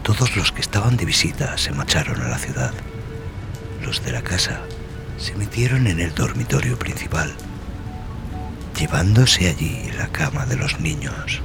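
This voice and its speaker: A man giving a grave, dramatic scripted horror narration, with phrases that often sink into a breathy hush. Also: lowish voice, very animated, normal speed. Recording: voice over loud music and rain.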